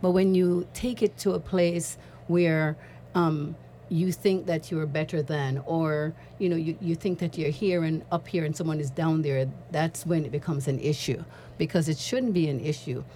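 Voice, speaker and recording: A woman's voice: low voice, steady tone, normal speed, mellow, a little hiss or hum. A woman panelist speaking earnestly and repeating words as she makes a point. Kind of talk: panel discussion.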